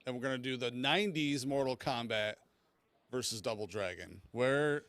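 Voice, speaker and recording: A man's voice: mid-range voice, normal speed, fairly bright tone, clear, resonant tone, no echo. A man hosting a podcast in a casual, rambling and informal way as he debates movies. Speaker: podcast host.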